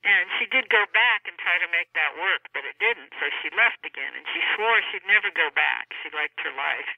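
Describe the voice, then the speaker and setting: A woman speaks as an author in a relaxed interview format, explaining things and pausing mid-sentence. Her voice comes through a narrow, tinny phone line with very little room sound. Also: fairly low pitch, creaky, no room sound. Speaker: author being interviewed about a novel. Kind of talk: conversational interview. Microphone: telephone.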